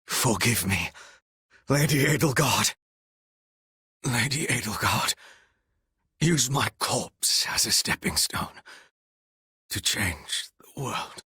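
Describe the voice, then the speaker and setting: An actor playing a cold, menacing and devoted retainer and strategist in a video game, with formal, archaic, theatrical diction and a raspy voice. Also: male voice, mid-range voice, expressive, takes it slowly, long pauses, pushed and bright, no room sound, studio quality, heavily acted. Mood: solemn, humbled.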